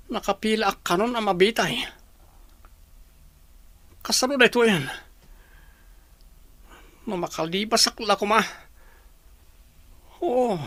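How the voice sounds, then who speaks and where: A man's voice: high-pitched, a bit bright, dry recording. A man playing a desperate, agitated condemned prisoner in a radio drama. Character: desperate, agitated jailed man awaiting execution.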